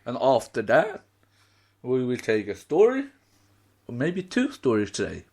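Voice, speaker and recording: A man with a Swedish accent chatting as a podcast co-host, halting as he searches for words in English. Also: medium pitch, wildly swooping pitch, a little mellow, husky. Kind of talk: casual fan podcast chat.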